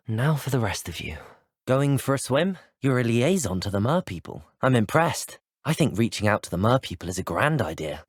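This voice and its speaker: An actor with a British accent playing a polite, earnest, curious teenage wizard student in a video game, speaking in a well-spoken, measured manner. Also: male voice, mid-range voice, no echo, studio quality. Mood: polite, inquisitive. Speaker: video game player character. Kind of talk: scripted game voice acting.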